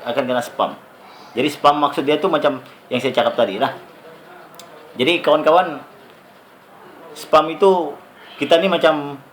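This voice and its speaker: A man's voice: mid-range voice, a little hiss or hum, poor audio. A man from Sabah speaking with a Sabah Malay accent, giving a rambling, casual talk to his channel viewers. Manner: chatty, rambling, with many fillers. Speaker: YouTuber.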